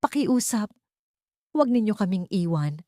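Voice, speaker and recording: A woman's voice: medium pitch, very animated, moderate pace, dark tone, no echo, studio quality, pitched above the performer's own voice, natural voice. A woman voicing an anguished, betrayed and remorseful young man in an audiobook drama, pleading and accusing. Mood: desperate, remorseful. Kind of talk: Tagalog audiobook drama dialogue.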